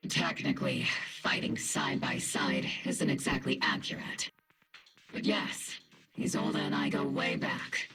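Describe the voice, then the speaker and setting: An actress playing an earnest, loyal military squad captain in a video game, measured and firm, with a creaky voice. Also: female voice, extremely low pitch, sing-song, fairly bright tone, exaggerated voice. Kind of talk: scripted video game voice acting. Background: clear game music.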